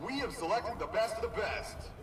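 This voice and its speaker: A man's voice: high voice, normal speed, natural voice. A man playing a hyped-up streetball tournament announcer in a video game, rousing and slangy as he projects to a crowd. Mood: hyped, formal. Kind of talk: video game cutscene acting, event emceeing. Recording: echoey, distant, over music and crowd.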